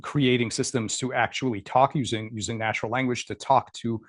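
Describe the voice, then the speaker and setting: A man giving a scientific lecture over slides, halting with many fillers and repeats, and losing his voice. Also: mid-range voice, steady tone, no echo, studio quality. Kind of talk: academic talk over slides.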